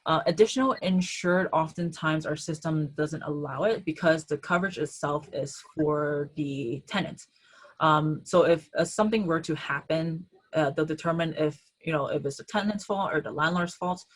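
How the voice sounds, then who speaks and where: Low-voiced woman answering insurance questions. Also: moderate pace, slightly rough. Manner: hesitant, many ums and uhs. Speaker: insurance agent. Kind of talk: answering questions in an online webinar. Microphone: video call.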